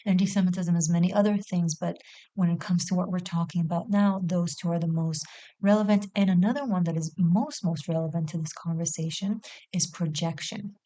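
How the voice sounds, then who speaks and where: A woman hosting her own channel, delivering an impassioned opinion monologue with rhetorical questions and wry, dark humor. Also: on the low side, fairly even intonation, fairly quick. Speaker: channel host.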